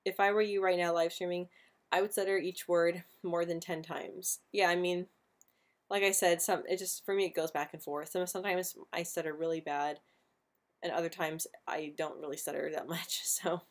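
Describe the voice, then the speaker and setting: A woman's voice: fairly low pitch, fairly even intonation, normal speed. A young woman hosting a casual live stream, talking with a frequent stutter that repeats sounds and words. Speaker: livestream host answering viewer questions.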